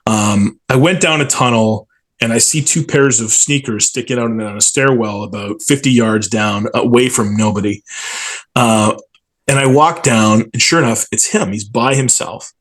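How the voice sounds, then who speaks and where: A man's voice: medium pitch, moderate pace, fairly bright tone. Man reminiscing with enthusiasm. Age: 40s. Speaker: stadium PA announcer, interview guest. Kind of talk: casual storytelling in an interview.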